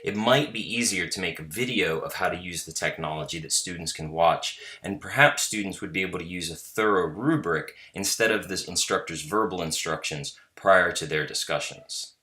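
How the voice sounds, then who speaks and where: A man with a loud voice and a very low, bright, forceful tone reflects on his own lesson. He narrates in a measured, self-critical way. The voice-over is clean and close. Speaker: teacher.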